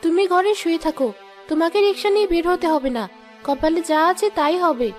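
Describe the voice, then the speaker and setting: A poor, worried housemaid acted by a woman in a cartoon drama. Her voice is extremely high and plaintive, pleading as she talks, with light music underneath. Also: brisk, darkish tone. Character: poor anxious housemaid, wife and mother, pleading.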